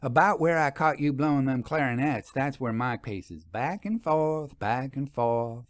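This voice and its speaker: A man's voice: medium pitch, no room sound, lightly acted. A man voicing a cheerful, folksy young country wife in a storybook reading. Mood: wry, cheerful. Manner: folksy, teasing, quick to laugh.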